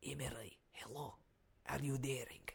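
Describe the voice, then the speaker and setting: A husky, creaky Ukrainian foreign correspondent for a comedy news show. He is nervous and hapless, reporting in hushed, broken English with a thick Ukrainian accent and repeating himself as if afraid of being overheard. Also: fairly high pitch, moderate pace. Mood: nervous whispering. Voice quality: hushed, breathy, gravelly. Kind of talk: comic fake news field report.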